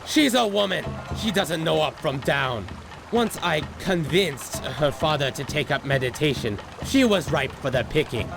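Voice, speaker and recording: An imperious animal warlord villain speaks with grand, theatrical menace. The voice is creaky and a little high, hungry for power in every phrase, with game music playing behind it. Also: male voice, lively intonation, a little slow. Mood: menacing fury. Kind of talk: video game villain acting.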